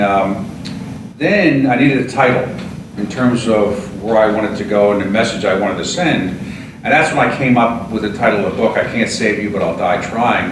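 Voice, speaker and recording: Older man in his sixties, earnest and steady, frequent "uh"s, recorded off-mic in an echoey room with noise behind. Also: mid-range voice, distant mic. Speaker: retired fire chief and author. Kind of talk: interview answers.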